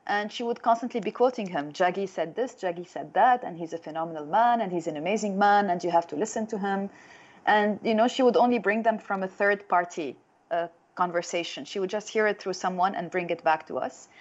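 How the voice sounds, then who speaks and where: A woman's voice: medium pitch, brisk, highs cut off. A woman in her thirties from Lebanon, with a Lebanese accent, telling her own story as an interview guest. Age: 30s.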